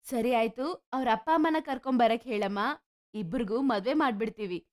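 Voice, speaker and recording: A woman's voice: a little high, moderate pace, natural voice. A woman voicing a kindly, concerned middle-aged village mother with a motherly, admonishing manner. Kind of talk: animated moral story dialogue.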